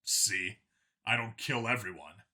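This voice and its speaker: A man playing a cold, menacing human cop in an audio story, speaking in a controlled, measured way. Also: fairly low pitch, sharp tone, breathy edge, voice pushed down, heavily acted. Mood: stern. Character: cold, menacing human vigilante cop holding a gunman's calm.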